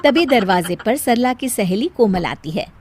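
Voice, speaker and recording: A woman narrates a scripted tale as a storyteller. Her voice sits fairly high. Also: animated, moderate pace, no room sound.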